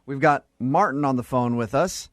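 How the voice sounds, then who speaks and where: A man's voice: mid-range voice, moderate pace, no room sound. Teasing male radio host, dry studio sound. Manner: teasing, joking. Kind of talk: morning radio banter and prank-call hosting. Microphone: close studio microphone.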